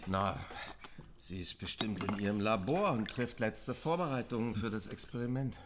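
An actor playing a dry, practical engineer in a radio drama, with a matter-of-fact way of talking. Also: male voice, fairly low pitch, expressive, moderate pace, background noise, lightly acted. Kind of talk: German radio drama acting.

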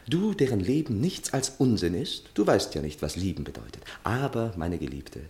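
A young, tipsy journalist in love, in 1960s radio-play style. His deep voice moves through a very lively melody. He declares himself theatrically and ardently, now and then stumbling over a word. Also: normal speed. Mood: ardent, pleading. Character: infatuated, tipsy young male journalist and suitor.

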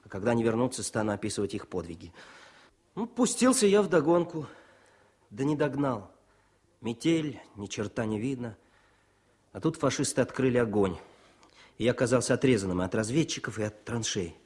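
An actor playing a young, modest, cheerful wartime poet and officer in a Soviet radio drama, speaking with expressive eagerness. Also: male voice, mid-range voice, normal speed, long pauses, slightly rough. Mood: animated storytelling. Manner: expressive, eager, declaims verse.

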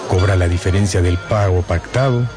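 A man narrating a scripted radio drama in an expressive, deliberate storytelling style. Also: low voice. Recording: music under the narration.

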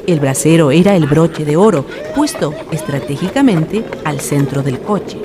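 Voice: mid-range voice, animated, moderate pace, a crowd in the background. A narrator reading a scripted radio drama in a solemn, literary storytelling manner. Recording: noisy ambient radio drama recording.

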